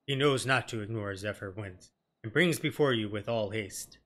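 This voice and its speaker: A man's voice: fairly low pitch, moderate pace, fairly bright tone, voice pushed down, natural voice. A man voicing a proud, blustery beastman tribesman in pompous, archaic broken phrasing for a fantasy game. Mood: proud, solemn.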